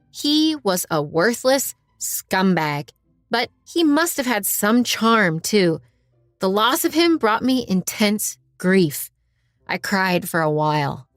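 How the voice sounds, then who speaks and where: Female story narrator, medium pitch, scripted first-person drama, close dry recording. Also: dry recording. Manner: voices dialogue of several characters.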